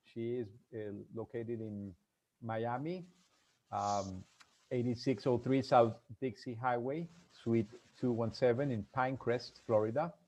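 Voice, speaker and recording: A man hosting a live conversational interview, speaking in halting, hesitant phrases. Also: medium pitch, steady tone, darkish tone, poor audio. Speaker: interview host.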